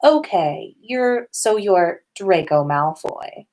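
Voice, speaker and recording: A woman's voice: medium pitch, expressive, slow pace, a little mellow, poor audio. Woman playing a cheeky, mocking teenage boy wizard. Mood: sassy, mocking.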